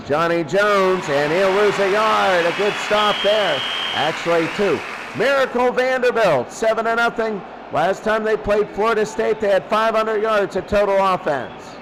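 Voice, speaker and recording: A man's voice: sits high, background noise. A man doing football play-by-play as the announcer, often repeating his phrases. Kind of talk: amateur football play-by-play commentary.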